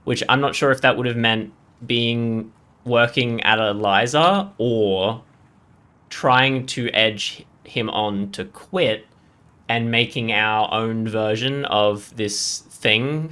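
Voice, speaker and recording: A man's voice: fairly low pitch, pushed and bright. A man giving casual, off-the-cuff game commentary as a channel host, rambling as he thinks aloud and often checking in for agreement.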